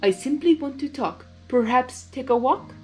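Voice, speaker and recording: A woman voicing a wise, caring female zebra who speaks formally in measured rhymes. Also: high-pitched, lively intonation, soft background music, pitched above the performer's own voice. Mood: polite.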